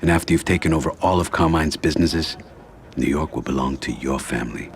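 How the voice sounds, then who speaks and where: An actor playing a calculating mafia don in a video game, giving counsel in a measured way. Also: male voice, extremely low pitch, a little hiss or hum. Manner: measured, advising. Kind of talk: video game crime drama acting.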